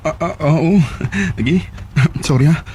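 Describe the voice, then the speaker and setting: An actor playing a shy, smitten young working man with a Filipino Cebuano accent, halting and nervous, in a radio drama. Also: a little high, dark tone, a little hiss or hum, lightly acted. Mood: shy, hopeful. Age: young adult. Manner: hesitant, halting with stammered interjections. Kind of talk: Bisaya radio drama acting. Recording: radio drama with music underneath. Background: background music.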